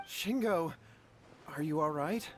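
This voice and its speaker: An anxious young brother in a fantasy game, humble and apologetic. His fairly high male voice is earnest and deferential, over clear music. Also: slow pace. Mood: worried. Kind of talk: video game character acting.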